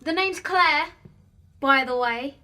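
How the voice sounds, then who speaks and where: High-voiced, feisty, stubborn young woman. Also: slow pace, pushed and bright, a little hiss or hum, full character voice. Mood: cheeky, insistent. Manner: challenging, sarcastic. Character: feisty, stubborn, sarcastic young female video maker. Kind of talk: audio drama acting.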